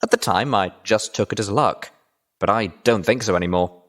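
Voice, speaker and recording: A man's voice: on the low side, moderate pace, fairly bright tone, close to an everyday voice. A man voicing an earnest, determined young female pony adventurer in an audiobook.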